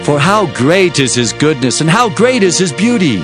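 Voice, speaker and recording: An old testament prophet for bible audio drama, solemn and fervent, declaiming, music under it. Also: male voice, on the high side, sing-song, moderate pace. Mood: exultant, fervent. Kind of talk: dramatized scripture reading. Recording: music behind the voice.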